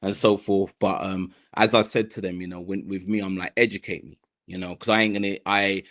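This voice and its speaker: A man's voice: sits low, little pitch movement, brisk, fairly bright tone. A man with a London accent, a guest on an interview podcast, talking in a hesitant way with many fillers and restarts. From South London, Nigerian heritage. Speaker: guest, mental health advocate.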